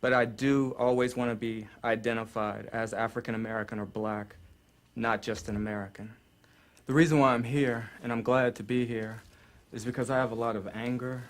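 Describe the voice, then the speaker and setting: A man speaking firmly and emphatically in a group discussion. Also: mid-range voice.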